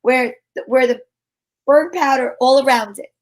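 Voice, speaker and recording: Woman acting as female private eye, high voice, breezy, slangy, wisecracking, old-time radio drama. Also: normal speed, lightly acted. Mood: wry, explaining. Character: wisecracking, sharp-witted female private detective.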